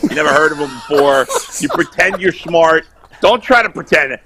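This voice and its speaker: Male sports panelist, opinionated and loud, animated delivery, slightly high pitch, informal remote call-in. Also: moderate pace, background noise. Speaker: sports talk show panelist calling in from vacation. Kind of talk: casual sports banter and opinion. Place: remote video call while on vacation.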